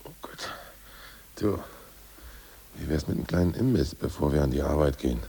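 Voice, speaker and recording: Radio play: older deposed party boss, very deep and husky, lively pitch, whispering schemer. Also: male voice, normal speed, full character voice. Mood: scheming. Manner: hushed, conspiratorial whispering. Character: ousted old party boss, scheming and bossy. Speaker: ousted Politburo member. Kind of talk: 1980s radio play acting.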